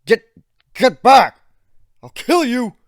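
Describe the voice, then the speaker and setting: A man's voice: very high-pitched, very animated, takes it slowly, no echo, studio quality, pitched above the performer's own voice, full character voice. A man voicing a frightened, stuttering male mage in a dramatic fantasy story, trembling, pitiful and easily angered. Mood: desperate rage. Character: panicked, pleading male mage turning angry and threatening.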